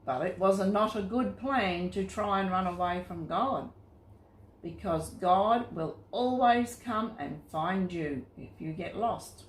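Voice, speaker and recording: A woman narrates Bible stories for young children. She goes slowly and keeps the telling simple and expressive. The recording has a noticeable room echo around her voice. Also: mid-range voice. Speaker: storyteller for children. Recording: roomy, echoing sound.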